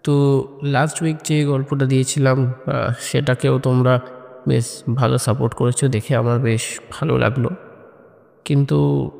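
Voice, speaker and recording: A man narrating and hosting scripted audio horror stories in a measured way, pausing between short phrases. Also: mid-range voice, gravelly, darkish tone. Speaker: host and narrator of an audio story channel. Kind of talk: scripted horror story narration and channel introduction. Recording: long reverb on the voice.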